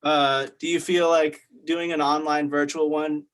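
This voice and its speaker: A man's voice: on the high side, little pitch movement, measured pace, fairly bright tone, smooth. A man casually leading a group discussion as its facilitator, putting questions to the others. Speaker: meeting facilitator.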